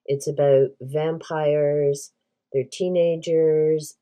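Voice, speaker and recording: A woman whose first language is Hungarian, hosting a book tag vlog in an earnest, measured way. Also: very deep voice, warm tone. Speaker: book channel host.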